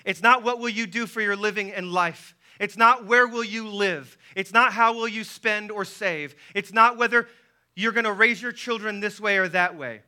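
A man preaching a sermon as a pastor, loud and emphatic, with deliberate phrasing and rhetorical repetition. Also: sits high, fairly even intonation, clear, resonant tone. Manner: impassioned, emphatic, yelling.

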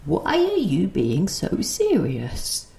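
Frail elderly granny, very slow, often halting, low-voiced, audio drama, echoing room. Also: female voice, huge pitch swings, vocal fry, mellow, slight background noise, heavily acted. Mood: lucid, wry. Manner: halting, stammering, words broken apart. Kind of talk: audio play acting. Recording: roomy, echoing sound.

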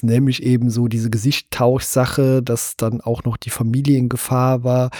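A man hosts a relaxed film podcast in a mid-pitched, somewhat husky voice. He talks in a chatty way, feeling out his thoughts as he goes. The sound is dry, with hardly any room on it. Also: no room sound. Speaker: podcast host. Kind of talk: casual film discussion.

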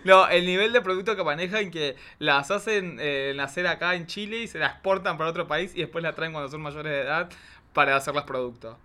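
A man's voice: fairly high pitch, brisk, bright, forceful tone. A man who is a drag queen guest, chatting casually in a camp, emphatic and dramatic way. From Argentina. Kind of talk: casual chat.

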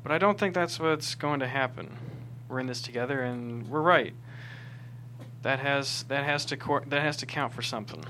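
An actor playing an earnest, principled man in a script table read, insistent and at times halting. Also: medium pitch, pushed and bright, a little hiss or hum, lightly acted. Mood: resolute.

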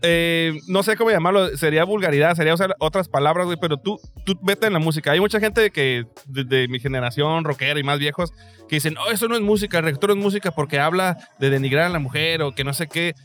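A man's voice: a little high, fast, a bit bright, soft background music. A Mexican man in his forties with a Mexican accent, hosting a casual podcast chat in a rambling, slangy way full of restarts. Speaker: podcast host.

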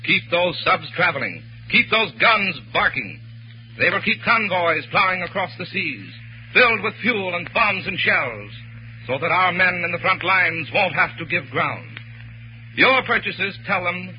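High-pitched 1940s male radio announcer. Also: slight background noise. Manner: formal, declamatory. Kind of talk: 1940s radio announcing, scripted patriotic appeal. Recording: muffled 1940s radio recording with music.

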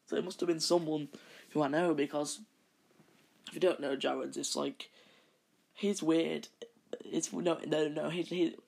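This is a man with a croaky voice, gravelly and a little husky, talking on his own podcast. He drifts from point to point in a long casual monologue, backtracking and fixing what he just said. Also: fairly high pitch, fairly even intonation, measured pace, pauses often, darkish tone. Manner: rambling, with frequent self-corrections. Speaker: podcast host.

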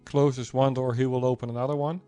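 Male podcast host, mid-pitched voice, relaxed, conversational questioning. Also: fairly quick, smooth, soft background music. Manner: relaxed, asks follow-up questions with restarts. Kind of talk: conversational interview.

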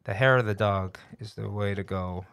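Guy chatting on a podcast, smooth lowish voice, dry sound. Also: normal speed, a bit bright, dry recording. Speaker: podcast host. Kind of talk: casual chat.

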